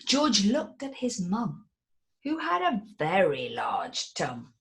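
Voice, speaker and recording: A woman reading a rhyming picture book aloud in an animated, theatrical way. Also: mid-range voice, slow, vocal fry. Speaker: narrator.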